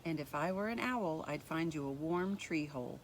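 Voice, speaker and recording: A woman reading a picture book aloud as a story time host, speaking deliberately and expressively to young children. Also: low-pitched, slight background noise, poor audio. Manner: deliberate, expressive, addressing young listeners directly.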